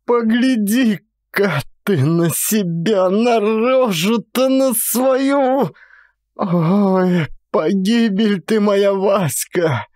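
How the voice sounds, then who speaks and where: Male actor as old Cossack mother, high cracked voice, slow bitter scolding, audiobook drama, dry sound. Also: a little mellow, no echo. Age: old woman figure. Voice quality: rattling, cracked voice. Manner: scolding, wailing, drawn-out. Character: furious shrill old Cossack mother scolding her son.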